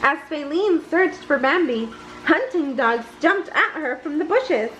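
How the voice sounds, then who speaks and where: A woman reading a children's storybook aloud in an expressive storytelling manner. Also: high voice, measured pace, slight background noise, poor audio. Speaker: story reader. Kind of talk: bedtime storybook read-aloud.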